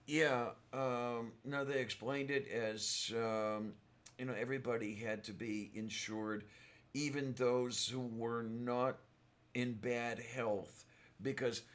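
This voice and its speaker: A man hosting a casual livestream chat, rambling and halting, his voice hoarse from a sore throat. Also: mid-range voice, fairly bright tone. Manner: rambling, halting, many fillers. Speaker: livestream host.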